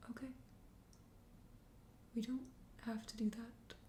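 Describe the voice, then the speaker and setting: A woman voicing a teasing, flirtatious, self-assured vampire host in a soft, breathy ASMR whisper. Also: medium pitch, close to an everyday voice. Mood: gentle, charming. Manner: teasing, slow, coaxing. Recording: roomy echo, faint background noise.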